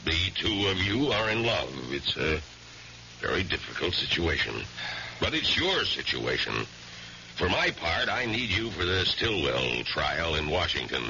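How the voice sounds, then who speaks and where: An actor playing an older, pressing law firm boss in a seventies radio drama. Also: male voice, deep voice, big pitch swings, measured pace, pushed and bright, exaggerated voice. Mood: measured, pressing. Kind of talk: 1970s radio drama acting. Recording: dull, noisy old radio recording.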